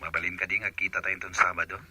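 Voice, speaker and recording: An actor playing a smooth-talking married womanizer in a radio drama, casual and coaxing. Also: low voice, little pitch movement, quick pace, bright, forceful tone, exaggerated voice. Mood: friendly, coaxing. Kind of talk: radio drama acting in Ilocano.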